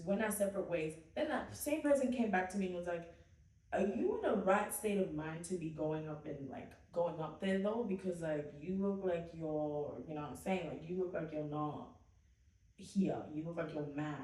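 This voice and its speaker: Woman, podcast co-host, chatty storytelling, slightly low voice, echoey room away from the mic. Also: normal speed, a little mellow, distant mic. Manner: storytelling, lots of fillers and asides. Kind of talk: casual faith chat.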